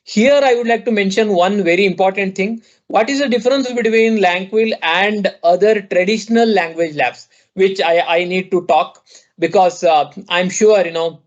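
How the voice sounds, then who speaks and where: A man with an Indian English accent walks listeners through a product demo in a rather high voice. He talks haltingly, with frequent restarts and filler phrases. The audio sounds muffled and lo-fi. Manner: halting, full of "you know" and restarts. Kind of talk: online sales demo presentation. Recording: dull lo-fi audio.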